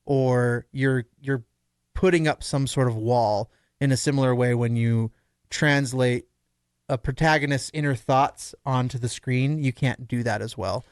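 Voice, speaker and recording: Smooth, clear-voiced man, measured. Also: medium pitch, steady tone, dry recording, clean studio sound. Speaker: podcast co-host. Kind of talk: casual discussion.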